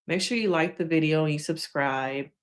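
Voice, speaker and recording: A woman's voice: sits low, normal speed. A woman hosting a casual live stream, chatting frankly with viewers, laughing often, and losing her voice.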